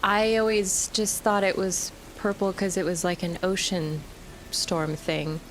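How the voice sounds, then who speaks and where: A woman's voice: mid-range voice, little pitch movement, measured pace, a little hiss or hum, rough recording. A woman chatting casually as a player in a tabletop role-playing game.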